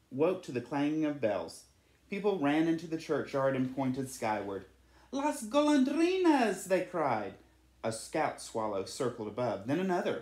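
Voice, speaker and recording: A storyteller reading a children's storybook aloud in an animated, dramatic way. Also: mid-range voice, roomy sound.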